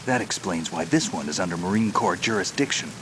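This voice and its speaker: An actor playing a wary, terse special-operations veteran in a video game, with a gruff, gravelly voice. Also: male voice, low voice, lively intonation, brisk. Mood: dry, guarded. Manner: terse, dry, guarded. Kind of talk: video game cutscene acting. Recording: heavy noise of rain and vehicles.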